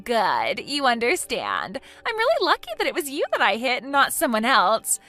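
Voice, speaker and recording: A woman's voice: on the high side, huge pitch swings, moderate pace, pushed and bright, soft background music, exaggerated voice. A woman voicing a selfish, entitled young woman who reacts with whiny, indignant outbursts.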